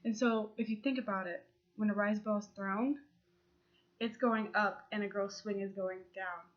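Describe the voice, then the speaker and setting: A woman's voice: mid-range voice, fairly even intonation, normal speed. A young woman with a cold, giving a persuasive class speech in an earnest way, with restarts and fillers. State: congested from a cold. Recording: slightly dull lo-fi sound.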